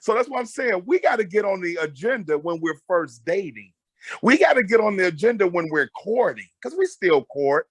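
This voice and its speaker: A middle-aged man with a high voice that swings widely as he talks. He is loud and emphatic, giving conversational counsel and repeating phrases for weight. The sound is close and dry. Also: very animated, normal speed, slightly rough, no room sound. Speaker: guest marriage counselor and mentor. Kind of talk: animated conversational advice talk.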